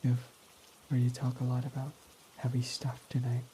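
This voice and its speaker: A young man playing a guarded male villain who confesses his love, speaking softly and often sinking to a whisper in a romantic roleplay. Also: medium pitch, monotone, normal speed, a little hiss or hum, lightly acted. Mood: soft, affectionate. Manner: soft-spoken, often dropping to a whisper. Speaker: ASMR roleplay voice actor.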